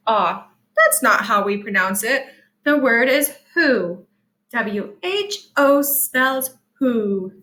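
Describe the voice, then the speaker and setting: Slow, bright-voiced woman teaching reading. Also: sits high, reverberant. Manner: patient, sing-song teacherly delivery with deliberate enunciation and emphasis. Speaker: early-grade reading teacher. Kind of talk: sight-word lesson and picture book read-aloud for young children.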